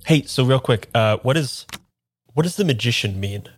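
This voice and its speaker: A man plays a bewildered man without his memory in an improvised radio drama. He is awkward and eager, halting when he talks to others and reflective when he narrates. His voice is mid-pitched, with music behind it. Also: animated, husky. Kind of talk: improvised audio drama, first-person narration and dialogue.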